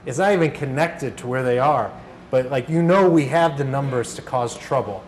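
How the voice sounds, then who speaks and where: A man's voice: mid-range voice, moderate pace, a little mellow. Informal man presenting, some background noise. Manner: conversational, acts out reported dialogue. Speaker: radio organizer presenting a workshop. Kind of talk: informal workshop talk with anecdotes. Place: workshop room, live talk.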